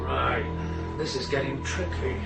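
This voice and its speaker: An actor playing a gruff, irritable signalman and father in a radio drama, with a brusque, impatient manner. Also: medium pitch, wildly swooping pitch, unhurried, over loud music, exaggerated voice. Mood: busy, brisk. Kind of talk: naturalistic radio drama acting.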